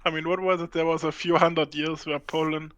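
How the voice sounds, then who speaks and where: Man, slightly high voice, helpful game advice, dry close sound. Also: flat delivery, a little fast, a bit bright, no echo, lo-fi sound. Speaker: co-player. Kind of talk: casual game chat.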